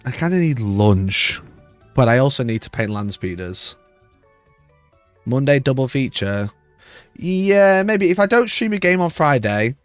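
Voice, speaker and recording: Hoarse man, rambling stream chat. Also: medium pitch, big pitch swings, unhurried, long pauses, no echo, soft background music. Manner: rambling, restarts mid-sentence. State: rough, hoarse voice. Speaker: livestream host. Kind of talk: casual livestream chat.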